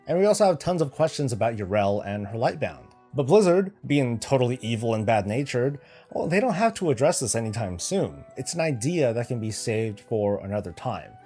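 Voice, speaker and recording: A man's voice: medium pitch, mellow. A man from Northern California hosting a gaming channel, casually answering viewer questions with sarcastic asides. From United States, Northern California. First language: Filipino heritage, raised in the US. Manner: joking, sarcastic asides, candid.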